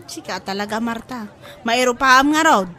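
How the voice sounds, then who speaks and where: High-voiced Ilocano market friend. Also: female voice, normal speed, pushed and bright, a faint crowd, a little hiss or hum. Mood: bantering. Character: chatty market-woman friend of a grumbling vendor. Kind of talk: broadcast comedy radio drama acting.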